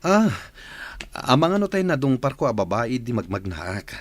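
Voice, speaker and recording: A man's voice: medium pitch, very animated, normal speed. A man playing an easygoing, affectionate but evasive husband and father in an Ilocano radio drama.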